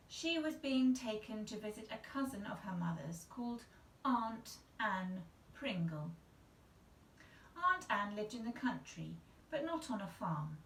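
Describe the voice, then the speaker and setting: A woman storyteller reading to children with a noticeably creaky voice at medium pitch. She is some way from the microphone in a small, echoey room. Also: recorded from a distance, slight background noise. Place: small tiled-looking bathroom indoors. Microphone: camera a couple of metres away.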